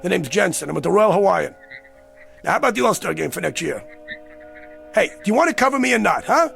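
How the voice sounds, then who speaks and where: Brash, cajoling gambler, high gravelly voice, slangy radio drama delivery. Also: male voice, sing-song, fast talker, fairly bright tone, faint music behind, heavily acted. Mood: cocky, scheming. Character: brash, fast-talking gambler, agitated man out of his time. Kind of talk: radio drama acting and first-person narration.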